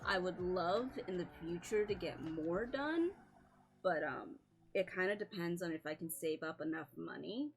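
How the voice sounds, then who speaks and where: A woman's voice: on the low side, normal speed. A woman streaming live with a scratchy voice, chatting casually, apologizing often, swearing and laughing a lot.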